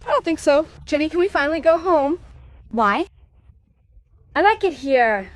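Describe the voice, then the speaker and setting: A woman's voice: sits high, animated, moderate pace, dry recording. A woman playing a bold, pushy young girl who teases and coaxes, in an audio drama. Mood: teasing, bickering.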